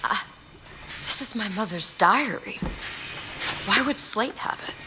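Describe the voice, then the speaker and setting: An actress playing a sheltered but sharp-tongued young woman in game dialogue. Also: medium pitch, animated, a little slow, noisy background, close to an everyday voice. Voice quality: crisp, cutting.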